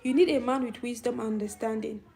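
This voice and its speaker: A woman's voice: fairly high pitch, fairly even intonation, quick pace, vocal fry, warm tone. A middle-aged woman with a young-sounding voice hosting a casual live stream, chatting and answering viewer comments. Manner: youthful-sounding voice. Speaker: channel host.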